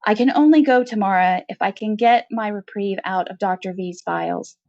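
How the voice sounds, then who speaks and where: A woman reader plays a desperate convict woman in a science fiction story. She speaks tersely and persuasively in a medium-pitched voice, wily and set on surviving. The sound is a little dull. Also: moderate pace, gravelly, darkish tone, natural voice. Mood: urgent, persuasive.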